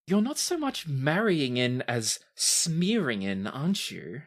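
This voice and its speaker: A woman's voice: low voice, wildly swooping pitch, slow, voice pushed up, heavily acted. A woman voicing a haughty, mocking noblewoman in a tabletop game, with a condescending, mock-polite drawl. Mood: sneering. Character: haughty, mocking noblewoman, sneering and easily enraged.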